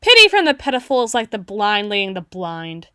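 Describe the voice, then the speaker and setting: A woman playing a wistful, guarded female half-spider bouncer in an intimate roleplay monologue, musing with dramatic pauses and soft trailing phrases. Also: mid-range voice, very animated, smooth, no echo, clean studio sound. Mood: bitter, resigned. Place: close-miked recording with music playing behind.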